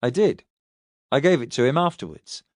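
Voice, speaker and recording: A man's voice: medium pitch, big pitch swings, moderate pace, dry recording, studio quality, natural voice. A man voicing a stubborn taxi driver under questioning in an audiobook, answering in a terse, controlled, defiant way. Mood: guarded.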